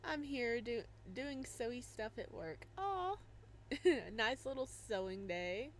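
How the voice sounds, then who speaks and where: A bubbly young woman chats to her stream viewers at an unhurried pace, giggling often and greeting each one by name. A faint hiss sits under her desk microphone. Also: on the high side, very animated. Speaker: streamer playing a visual novel. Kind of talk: casual live stream chat.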